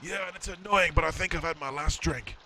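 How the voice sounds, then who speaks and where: An actor playing a defensive, self-pitying, hard-drinking farmer's son, earnest and insistent, in a comic audio drama. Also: male voice, fairly high pitch, fairly quick, a crowd in the background, lightly acted.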